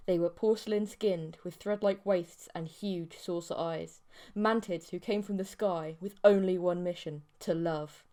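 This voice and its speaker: A woman reading a scripted story as an audiobook narrator, in a measured, theatrical way. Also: on the low side, dark tone.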